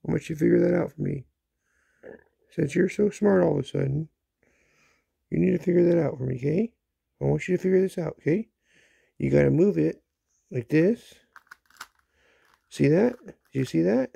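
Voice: mid-range voice, normal speed, gravelly, long pauses, warm tone, husky, no room sound. A pet owner talking casually to a dog, coaxing and repeating questions in baby talk, recorded on a handheld phone in a small bedroom. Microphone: handheld phone held near the speaker.

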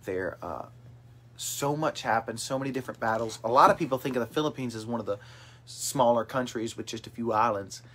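A man's voice: mid-range voice, brisk, a bit bright. Upbeat young man reading viewer comments. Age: 20s. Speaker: host of a family livestream chat. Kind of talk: casual chat. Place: small bedroom with brothers on a couch. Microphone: phone camera held at arm's length.

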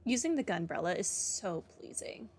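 A woman's voice: medium pitch, very animated, normal speed, darkish tone, husky. A woman giving casual commentary as she streams a video game, with game music behind her.

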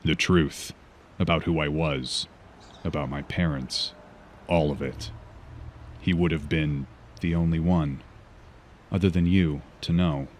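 Man acting as a grim vigilante, bottom-deep voice, terse, guarded, comic drama, faint noise. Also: steady tone. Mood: brooding, regretful. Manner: solemn, measured, terse. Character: brooding masked male vigilante detective, grave and guarded. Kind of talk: comic book fan dub, dramatic dialogue and monologue.

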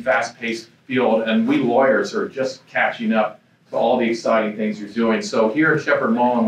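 A middle-aged man with a booming voice, a lawyer hosting a panel evening, giving welcome remarks to an audience in a conference room. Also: slight background noise. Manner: energetic, gestures while speaking. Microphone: handheld mic. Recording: echoey room, mic picked up from far.

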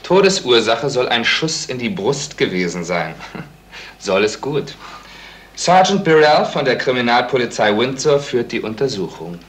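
A man's voice: medium pitch, very animated, moderate pace, a bit bright. Flippant, mocking male clubman. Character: flippant clubman reading news aloud mockingly. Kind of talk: 1970s German radio crime drama acting.

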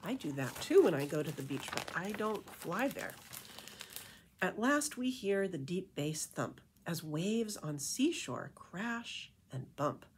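A middle-aged woman reads a children's picture book aloud as a librarian, with rhythmic, animated storytelling and casual asides. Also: lowish voice, takes it slowly, a little hiss or hum. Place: indoor room with painted wall.

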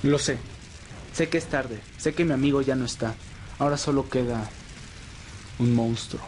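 A man's voice: medium pitch, moderate pace, a little mellow, natural voice. An earnest vampire played by a man pleads dramatically with his old friend in an audio drama. He is loyal and anguished, with faint noise behind him. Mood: pleading, sorrowful. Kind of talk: amateur Spanish audio roleplay drama.

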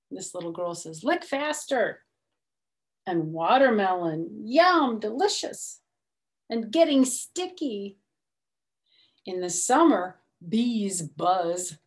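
A middle-aged woman reads a children's picture book aloud slowly and expressively, adding chatty, simple explanations for young children. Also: medium pitch. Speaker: storytime reader. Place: small room at home, indoors.

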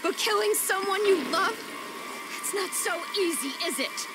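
A woman's voice: very high-pitched, unhurried, loud music behind the voice, low-quality recording. An actress playing a bold, accusing woman survivor in a video game cutscene, taunting and confrontational.

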